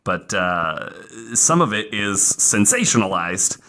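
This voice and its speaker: Bright-voiced man hosting a casual podcast. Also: on the low side, very animated, slow. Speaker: podcast host. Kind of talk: casual true-story narration with banter. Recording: faint background noise.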